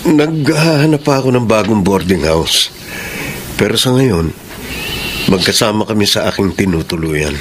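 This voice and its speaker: A Filipino actor playing an apologetic, gentle friend and caring partner in a radio drama. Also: male voice, lowish voice, animated, normal speed, lightly acted. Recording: noisy background ambience.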